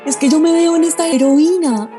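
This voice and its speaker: A woman playing a dreamy, spirited young Colombian daughter in a radio drama, eager and expressive. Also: very high voice, moderate pace. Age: young adult. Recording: music behind the voice.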